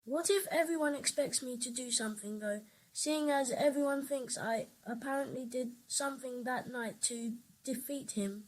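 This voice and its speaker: A woman's voice: high-pitched, normal speed, hardly any pauses, a little mellow, lightly acted, rough recording. A woman voicing an earnest, polite, curious young boy wizard in a fan comic dub. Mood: questioning. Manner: earnest, simple, questioning. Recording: music under the voice.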